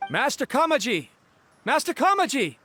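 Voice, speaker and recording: A man's voice: very high voice, sing-song, moderate pace. A man voicing a humble, deferential young servant in a video game, nervous, hesitant and apologetic. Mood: urgent calling. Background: game music.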